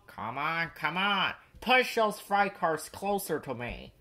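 A man's voice: on the high side, sing-song, takes it slowly, bright, forceful tone, pitched above the performer's own voice, exaggerated voice. A man voicing a bossy, grumpy crane in a children's storybook, scornful and emphatic. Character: bossy, grumpy, complaining male dockside crane.

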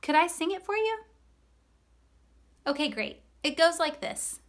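A woman in her thirties hosting story time for young children, expressive, animated and encouraging, in simple phrases. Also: extremely high pitch, a little slow. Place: small room with cloth backdrop. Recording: roomy echo, music behind.

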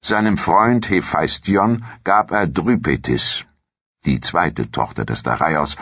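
A male narrator speaks in an extremely deep, husky register. He reads scripted documentary audiobook text. The recording is dry and somewhat muffled. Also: fairly bright tone, dry recording.

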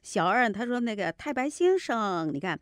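A woman's voice: fairly high pitch, big pitch swings, moderate pace, no echo, clean studio sound. A woman speaking as a teacher and judge, giving casual critique in an affirming, conversational way. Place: small radio studio. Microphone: close studio microphone on boom arm.

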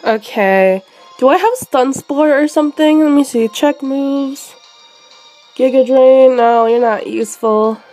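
A young woman streaming a handheld game, sick and losing her voice, chatting excitably with many fillers. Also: high voice, measured pace, soft background music. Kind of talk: live shiny hunting commentary.

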